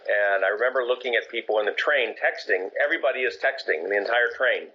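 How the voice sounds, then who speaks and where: Interview guest, man from Japan, low voice, easygoing anecdotes, dull lo-fi audio. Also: fast, slightly rough. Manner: relaxed storytelling with frequent "uh" fillers. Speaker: film producer, interview guest. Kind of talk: conversational interview answers.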